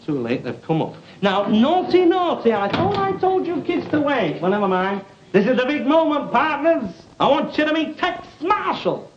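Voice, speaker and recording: High-pitched, bossy British sitcom schemer. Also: male voice, big pitch swings. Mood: showy. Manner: brisk, cajoling patter. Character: bossy, breezy, scheming man herding children and reluctant accomplices. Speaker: sitcom actor. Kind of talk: 1950s British TV sitcom acting. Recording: muffled, noisy old TV soundtrack.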